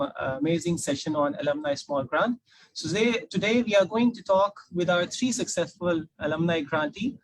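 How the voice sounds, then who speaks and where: High-pitched Pakistani man hosting a panel. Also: no room sound, poor audio. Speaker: panel host, alumni outreach officer. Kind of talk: informal live session moderating.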